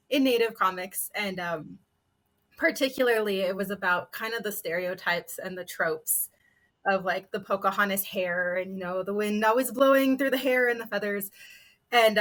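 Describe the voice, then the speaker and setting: A woman's voice: medium pitch, bright, forceful tone. A woman panelist telling a casual anecdote about herself in an informal, self-deprecating way. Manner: self-deprecating, chuckles while talking.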